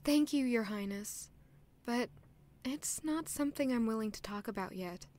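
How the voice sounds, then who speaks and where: A woman's voice: on the high side, normal speed, darkish tone, close to an everyday voice. An actress playing a polite, anxious young woman in royal service in an audio drama, earnest and a little hesitant. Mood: hesitant, guarded. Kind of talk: scripted audio drama acting.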